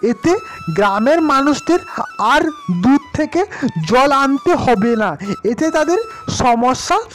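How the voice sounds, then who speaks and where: A humble, kindly village husband, acted by a cartoon performer, talks earnestly in a high voice. His intonation rises and falls freely. He sounds agreeable and modest, with faint music behind. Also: expressive, a little slow.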